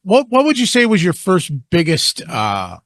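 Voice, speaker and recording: A man hosting an interview, talking in a relaxed, joking way with some casual swearing. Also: high-pitched, normal speed, no echo, clean studio sound. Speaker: podcast host.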